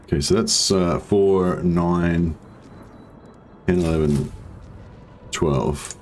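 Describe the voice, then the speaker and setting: A man with a deep voice giving casual, rambling commentary while playing a strategy game. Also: slow pace, slight background noise. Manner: rambling, relaxed, many fillers. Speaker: gaming streamer. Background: faint game music.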